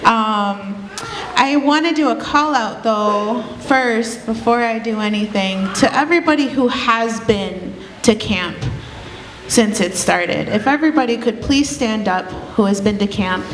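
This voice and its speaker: A woman's voice: fairly high pitch, unhurried. A woman from Guatemala, whose first language is Kaqchikel, speaking earnestly to a gathering as a street medic and activist. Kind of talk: speech to a gathering. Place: indoor community gathering. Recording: echoey hall with faint noise.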